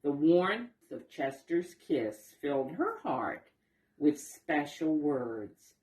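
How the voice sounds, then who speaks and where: A woman reading a picture book aloud to young children, explaining the pictures simply and gently as she goes. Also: sits low, very animated, slow, distant mic. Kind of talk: expressive read-aloud storytelling with asides to young listeners. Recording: roomy echo, voice away from mic.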